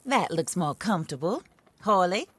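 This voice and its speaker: An actress playing a composed, vengeful widow in a Western video game, speaking in a formal, deliberate, genteel manner. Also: female voice, lowish voice, lively intonation, fairly bright tone. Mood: gracious. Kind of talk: dramatic video game dialogue.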